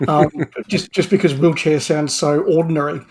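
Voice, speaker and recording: A man's voice: on the high side, steady tone, moderate pace, darkish tone. A man giving a casual review as a podcast guest, making articulate, thoughtful points at length.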